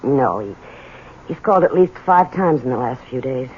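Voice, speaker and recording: A woman's voice: a bass voice, lively intonation, moderate pace, a little mellow, highs cut off. A woman playing a worried, supportive wife and mother in a 1970s radio drama. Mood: tender. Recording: thin, noisy old radio broadcast.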